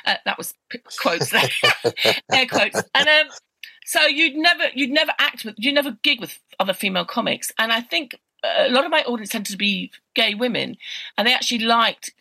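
A woman's voice: fairly high pitch, animated, moderate pace, gravelly, sharp tone, breathy edge, dry recording, rough recording. A woman comedian and comedy promoter, chatting as a podcast guest, telling anecdotes with frequent laughter. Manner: chatty, laughs often, self-deprecating anecdotes. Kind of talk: casual chat.

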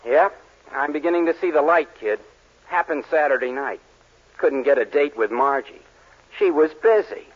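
An actor playing a slangy, lovelorn young suitor in a 1940s radio commercial, talking casually. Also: male voice, a little high, expressive, normal speed. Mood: glum, puzzled. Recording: muffled vintage radio recording.

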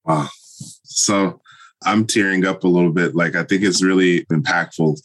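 A man's voice: low voice, steady tone, moderate pace, low-quality recording. A man hosting a podcast, chatting with enthusiastic praise in a casual way. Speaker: podcast host.

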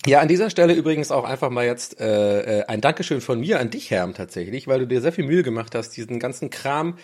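Guy co-hosting a chat, rambles along, jokey and self-deprecating, normal pitched voice. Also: expressive, quick pace, darkish tone. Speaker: co-host. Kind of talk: casual chat.

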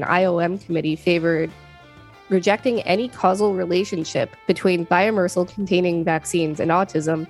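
A woman's voice: lowish voice, a little mellow. A woman reading an encyclopedia article aloud as a scripted narration.

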